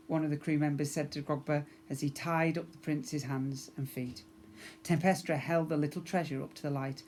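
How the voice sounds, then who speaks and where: A man reading a children's story aloud in an expressive narrating style, as a teacher would for young pupils. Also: fairly high pitch, slight background noise.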